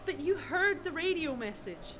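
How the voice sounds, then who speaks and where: A woman's voice: sits high, animated, normal speed, noisy background. A woman playing a distraught young newlywed in a radio drama, plaintive and melodramatic. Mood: distraught, pleading.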